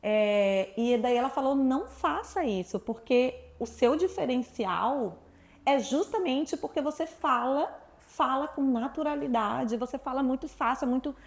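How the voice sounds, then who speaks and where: A woman from Salvador speaking, her voice sitting a little high. She talks freely and expressively, an easy storyteller chatting as an interview guest. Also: expressive, unhurried. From Salvador, Brazil. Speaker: guest, sexuality professional. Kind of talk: casual interview chat.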